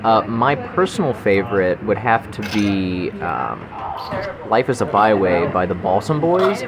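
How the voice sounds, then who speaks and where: A man's voice: fairly low pitch, very animated, measured pace, crowd noise. A man co-hosting a comedy podcast with mock-earnest, opinionated delivery. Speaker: podcast co-host. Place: local restaurant. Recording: clearly noisy restaurant background.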